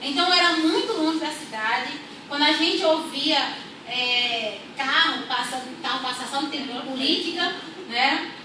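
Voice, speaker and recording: A young woman from rural Brazil telling her life story as a church testimony, unhurried and repeating her phrases. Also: high voice. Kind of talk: personal testimony. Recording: echoey room, far from mic, audible noise.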